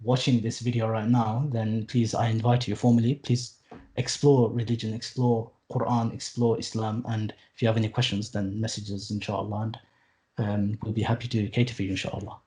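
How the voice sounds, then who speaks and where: A man's voice: on the low side, flat delivery, fairly quick, warm tone. A man in his late twenties, a podcast guest who teaches Arabic, talking casually and earnestly, with lots of restarts and fillers and some wry asides. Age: late 20s. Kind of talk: casual conversational interview.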